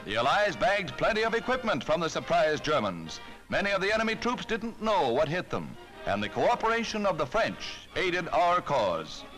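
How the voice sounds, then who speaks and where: A man's voice: a little high, big pitch swings, normal speed, few pauses, low-quality recording. A man with an American accent narrating a 1940s newsreel in a rousing, declamatory, patriotic way, on a vintage soundtrack. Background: music.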